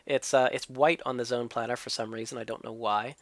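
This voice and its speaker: A man's voice: medium pitch, fairly even intonation, fairly quick, no room sound. A man hosting a let's play, explaining what he builds and tests as he goes, his voice a little stuffy from a cold. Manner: explanatory, thinking aloud. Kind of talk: gameplay commentary and tutorial.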